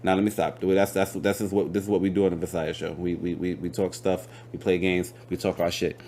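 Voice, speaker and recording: A man's voice: deep voice, little pitch movement, fairly quick, a little mellow, slight background noise. A man in his late twenties hosting a casual interview, slangy and joking, often talking over his guest. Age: late 20s. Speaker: host.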